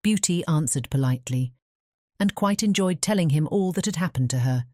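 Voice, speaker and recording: A woman narrating a scripted fairy tale audiobook as a measured storyteller. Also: deep voice, animated, darkish tone, no room sound, studio quality.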